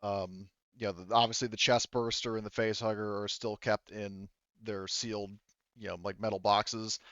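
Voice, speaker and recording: A man running a tabletop game as game master, improvising in a halting, rambling, filler-heavy way. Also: on the low side, little pitch movement, a bit bright, no room sound, dull audio.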